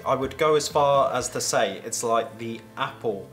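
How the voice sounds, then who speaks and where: A young British man co-hosting a casual kitchen gadget test, chatting with animated enthusiasm while he demonstrates. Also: mid-range voice, moderate pace, faint music behind. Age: young adult. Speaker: co-host. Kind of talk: casual product-testing chat. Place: indoor home studio set at a table.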